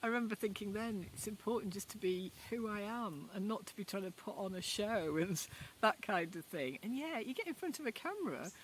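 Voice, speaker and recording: A middle-aged woman from Yorkshire, speaking with her broad regional accent in an ordinary mid-range voice. She talks unscripted to the camera while sitting in a garden outdoors, thinking aloud in a loose, meandering way. A faint hush of outdoor air sits behind her. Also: mid-range voice, lively intonation, fairly quick, slight background noise, poor audio. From Yorkshire, England. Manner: reflective, musing, loosely rambling with hesitations. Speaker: vlogger. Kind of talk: casual unscripted talk to camera.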